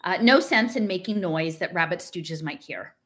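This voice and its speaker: A woman's voice: on the low side, lively intonation, moderate pace, fairly bright tone. A middle-aged woman with an American accent, talking casually and reading aloud as host of a home stream, in an emphatic, conversational way. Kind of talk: casual talk and unrehearsed reading aloud from a book. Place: small living room at home, indoors.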